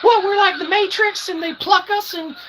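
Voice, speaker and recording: Guy doing a naive fan voice for a comedy bit, super high and exaggerated, noisy recording. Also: steady tone, normal speed, distant mic. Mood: mocking mimicry. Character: imagined viewer chiming in, high-voiced and naive.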